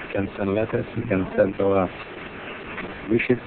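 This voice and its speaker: A man, a tailor, answering questions in halting, simple English. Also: lowish voice, steady tone, background noise. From Cyprus. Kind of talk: interview answers. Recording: tinny lo-fi audio.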